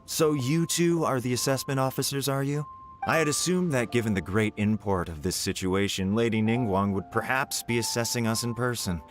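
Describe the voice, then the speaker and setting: An actor playing a pompous, condescending official in a video game, speaking in a haughty, patronizing way. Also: male voice, medium pitch, moderate pace, lightly acted. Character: pompous male job candidate, condescending official. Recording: game music behind the voice.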